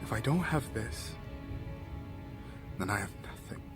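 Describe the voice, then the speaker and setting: An actor playing an embittered, obsessive villain in an audio drama, speaking in a grandiloquent, theatrical way. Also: male voice, on the low side, lively intonation, unhurried, music in the background. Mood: bitter, pleading.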